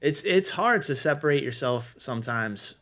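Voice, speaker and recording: A man with a mid-pitched voice gives casual advice in a podcast discussion. He explains things conversationally, setting out his points one after another as he goes. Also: expressive, normal speed, bright, forceful tone. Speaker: podcast panelist giving restaurant management advice.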